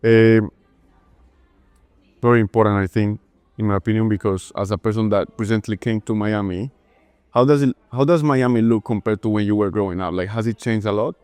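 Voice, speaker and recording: A man's voice: on the low side, steady tone, fairly quick, a little mellow, smooth, no echo, faint music behind. A man hosting a café interview, asking friendly, loosely phrased questions. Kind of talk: casual interview.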